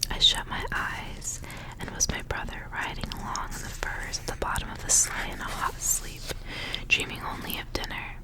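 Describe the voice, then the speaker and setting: A woman's voice: moderate pace, low-quality recording. Whispering woman reading a story for ASMR. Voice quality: whispered, breathy. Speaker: ASMR reader.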